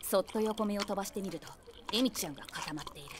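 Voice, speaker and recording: A woman's voice: medium pitch, fast, a little hiss or hum, close to an everyday voice. An actress playing a nosy, imaginative middle-aged woman who narrates a comic audio drama with wry, theatrical asides. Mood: amused commentary. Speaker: narrator and main character.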